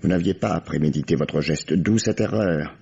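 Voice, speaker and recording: An actor playing a shrewd, world-weary police commissioner in a vintage French radio mystery, speaking formally and deliberately with irony and theatrical pauses. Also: male voice, a bass voice, vocal fry, mellow. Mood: grave. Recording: dull, band-limited old radio recording.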